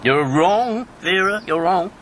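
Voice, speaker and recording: A man's voice: high-pitched, expressive, slow pace, a bit bright, full character voice. An actor playing a talkative, needling British ex-agent in his fifties in a radio drama, rambling and sardonic. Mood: earnest, insistent. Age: 50s. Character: talkative, needling fifty-year-old male ex-wartime agent. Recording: clear background noise with birdsong.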